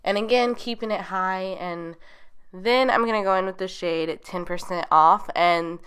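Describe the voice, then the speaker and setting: A woman's voice: medium pitch, unhurried, fairly bright tone, clear, resonant tone. A young woman narrating a makeup tutorial in a chatty, rambling way, her voice a little nasal as she gets over a cold. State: getting over being sick. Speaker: beauty vlogger.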